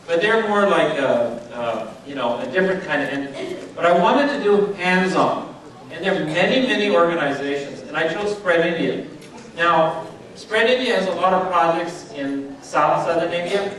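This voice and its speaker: A man's voice: fairly high pitch, fairly bright tone, distant mic. Man speaking in a very echoey hall. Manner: conversational, checks in with audience, many fillers. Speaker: speaker at a charity event. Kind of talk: informal speech to an audience. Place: event hall. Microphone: PA speaker, recorded from a distance.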